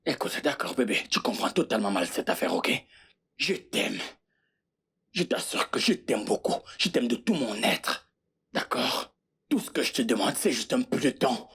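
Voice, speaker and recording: A woman voices a young man in a dubbed film drama, the eldest brother, defensive and given to insistent repetition. The voice sits very low and breathy-husky and moves with animated rises and falls. Faint music plays under the lines. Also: normal speed, full character voice. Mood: pleading. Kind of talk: French dub of a film.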